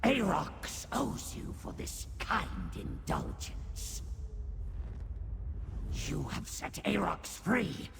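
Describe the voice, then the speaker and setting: Small boastful demon creature, very gravelly, wildly swooping pitch, grandiose, loyal, fantasy game. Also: male voice, huge pitch swings, drawn-out words, exaggerated voice. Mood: jubilant, grateful. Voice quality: gravelly, creaky. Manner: grandiose, refers to himself in third person. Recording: long reverb, clear background noise.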